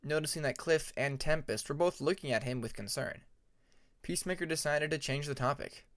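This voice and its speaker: A man narrating a story from a script as an audiobook, reading deliberately phrase by phrase. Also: a little high, a bit bright.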